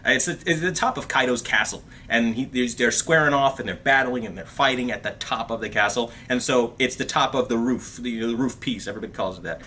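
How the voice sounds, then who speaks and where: Chatty man with a bright, forceful voice. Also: medium pitch, fairly quick, few pauses, a little hiss or hum. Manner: chatty and animated, lots of fillers, calls viewers bro and dude. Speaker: host of a TV review livestream. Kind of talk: casual livestream chat reading viewer comments.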